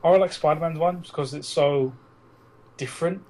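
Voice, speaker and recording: British man, gravelly, bantering casually. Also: on the high side, brisk, darkish tone, rough recording. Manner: teasing, sweary. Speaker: podcast co-host. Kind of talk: casual banter between friends.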